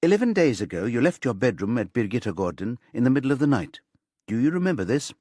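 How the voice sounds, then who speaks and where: A man's voice: mid-range voice, sing-song, quick pace, a little mellow, no echo, studio quality, voice pushed up. A man voicing a female prosecutor in an audiobook, falsely cheerful, insistent and pointed in questioning. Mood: pressing.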